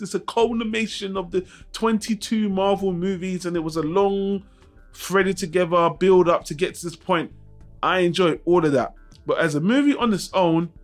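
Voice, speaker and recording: A man hosting a casual film review vlog, talking informally and frankly to his viewers with some mild swearing. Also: high-pitched. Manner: informal, mildly profane, frank. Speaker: YouTube channel host.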